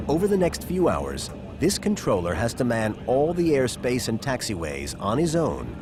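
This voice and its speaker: Man narrating with lively, dramatic pitch swings. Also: normal speed. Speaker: documentary narrator. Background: background music.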